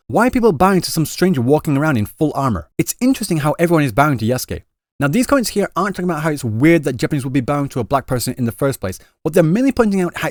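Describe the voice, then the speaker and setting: A man talking quickly and chattily in a commentary vlog, reading out translated comments and restarting phrases. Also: a little high, a little mellow, dry recording, clean studio sound. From Britain. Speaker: YouTuber explaining Japanese reactions.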